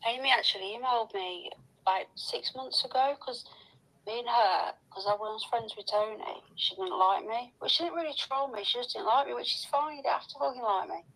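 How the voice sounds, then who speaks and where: A woman's voice: a little high, fairly quick, a bit bright, poor audio. A woman ranting bluntly and aggressively with heavy swearing, in a replayed phone voice message. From Northern England.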